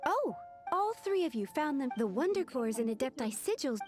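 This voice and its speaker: A woman's voice: high voice, very animated, normal speed, darkish tone. An actress playing a courteous young female official in an anime game, formal as if announcing. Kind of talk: anime video game voice acting. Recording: game audio over tinkling music.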